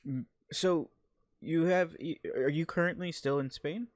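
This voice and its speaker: A man's voice: fairly high pitch, unhurried, no room sound, clean studio sound. Casual, rambling male show host. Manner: loose and rambling, with many fillers and restarts. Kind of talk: casual online interview with a role-played intro.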